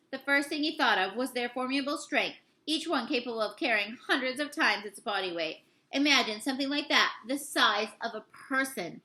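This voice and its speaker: A woman with a fairly high, very bright voice narrates a storybook. She reads dramatically and deliberately, occasionally tripping on a word and going back over it. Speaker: teacher reading a novel aloud.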